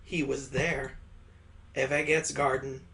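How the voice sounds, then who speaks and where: A man's voice: medium pitch, flat delivery, slow pace, vocal fry, bright, forceful tone, poor audio. A man hosting a gaming video, reading a visual novel aloud with sarcastic, irreverent joking asides.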